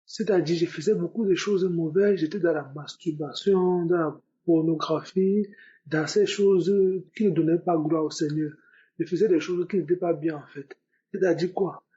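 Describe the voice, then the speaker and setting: A young man with a high, soft-toned voice tells his personal testimony, repeating words for emphasis as he goes. The sound is a bit dull and lo-fi. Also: fairly even intonation, moderate pace. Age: young adult. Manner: repetitive, emphatic storytelling. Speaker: testimony giver. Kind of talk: spoken religious testimony.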